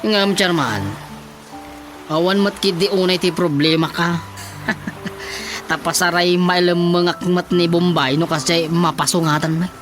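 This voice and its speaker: A sly debtor in a radio comedy. He is giggly and stretches out the ends of his phrases, with music clearly playing behind him. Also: sits high. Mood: sheepish, amused. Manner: chuckling, drawn-out phrase endings. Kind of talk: radio comedy drama acting.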